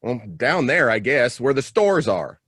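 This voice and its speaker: A man's voice: medium pitch, sing-song, measured pace, a bit bright, dry recording, clean studio sound. A man voicing a cheerful, offhand neighbourhood boy in a radio play, with a breezy, casual manner. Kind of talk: radio drama acting.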